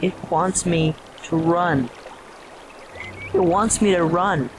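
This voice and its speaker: A man's voice: fairly high pitch, slow. A man playing a troubled teenage boy, anguished and defensive as he struggles with a possessing voice, in an audio drama. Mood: weary, troubled. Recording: voice over prominent music.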